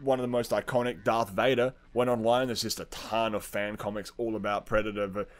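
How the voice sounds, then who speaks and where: A man's voice: lowish voice, little pitch movement, brisk. A man co-hosting a podcast in casual chat, with a blunt, colloquial, sweary way of talking. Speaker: podcast co-host.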